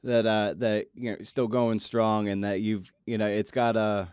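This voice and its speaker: Guy podcast host, lowish voice, dry close sound, halting casual chat. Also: little pitch movement, a little mellow, clean tone, no room sound. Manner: halting, rephrasing questions as he goes.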